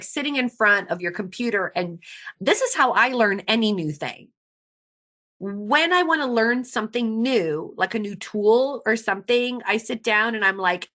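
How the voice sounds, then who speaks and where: A woman speaks as a podcast guest in a bright, forceful voice. Her talk is casual and frank, and she stresses her points by repeating them. She sits at a middling pitch for a woman.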